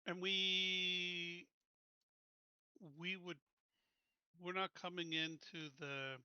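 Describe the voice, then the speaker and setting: A man's voice: sits high, fairly even intonation, extremely slow, pushed and bright, clean tone, no room sound, lo-fi sound. A man playing a tabletop roleplaying game, casually talking through plans and questions. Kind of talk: casual game planning talk.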